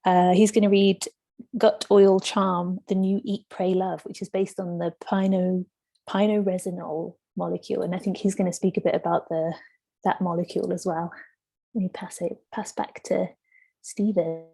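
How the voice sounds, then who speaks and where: Creaky, mellow-voiced woman hosting online. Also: lowish voice, little pitch movement, normal speed. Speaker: host of online book launch. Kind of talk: informal event hosting. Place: online event, on a video call.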